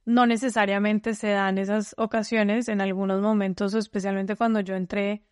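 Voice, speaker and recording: A woman's voice: mid-range voice, little pitch movement, normal speed, clean tone, no room sound. Chatty Colombian woman, dry sound. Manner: talkative, frequent restarts and fillers. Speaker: podcast guest. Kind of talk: casual interview chat.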